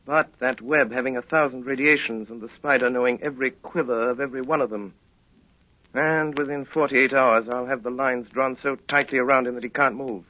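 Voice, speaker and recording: A man acting a brilliant, self-assured gentleman detective in a 1930s radio drama, with an urbane, florid, teasing manner. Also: medium pitch, steady tone, lightly acted. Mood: grave, deliberate. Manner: urbane, florid, teasing, with hesitant drawn-out asides. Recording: dull, noisy old 1930s radio recording.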